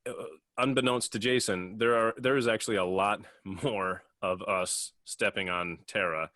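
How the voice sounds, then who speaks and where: A man co-hosting a casual podcast discussion, speaking haltingly and often restarting his phrases. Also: fairly low pitch, steady tone, sharp tone, no room sound, clean studio sound. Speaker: podcast host and audio editor.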